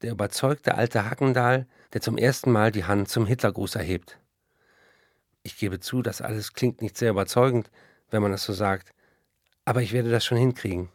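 An actor in a radio play voicing an embattled, wry German novelist of the 1930s, reading out letters and diary entries. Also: male voice, on the low side, moderate pace, no room sound, clean studio sound, close to an everyday voice. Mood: businesslike.

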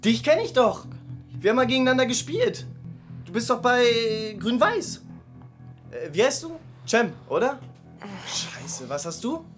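A woman's voice: on the high side, big pitch swings, measured pace, long pauses, soft background music. A woman playing a frustrated, impulsive young football hopeful in a radio play, slangy and blunt with lots of swearing. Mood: agitated, cursing. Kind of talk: German radio play monologue and dialogue.

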